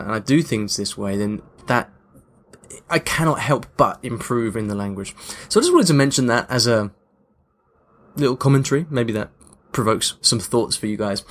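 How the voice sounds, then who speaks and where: A man's voice: mid-range voice, fairly bright tone. A man hosting a podcast in a casual, chatty monologue, reflective and emphatic on key points. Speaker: podcast host.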